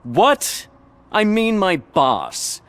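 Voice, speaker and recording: An actor playing an aggrieved, petulant office worker in a video game, exasperated and resentful. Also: male voice, high-pitched, sing-song, extremely slow, fairly bright tone, heavily acted. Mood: bitter, resentful. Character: bitter, aggrieved fired male office worker. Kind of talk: video game cutscene acting.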